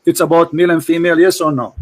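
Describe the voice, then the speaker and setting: A man's voice: a little high, flat delivery, rapid-fire. A man in a heated debate, pressing his opponent with insistent, repeated questions.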